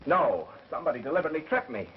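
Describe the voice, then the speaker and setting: A smart, self-assured investigator in an old mystery picture. His delivery is wry and very animated in pitch. The soundtrack is dull and faintly hissy. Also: gravelly, exaggerated voice. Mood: dry, assured. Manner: wry, brisk deductive retorts. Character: clever, dry, self-assured amateur sleuth. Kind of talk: 1930s mystery film acting. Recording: muffled, hissy 1930s film soundtrack.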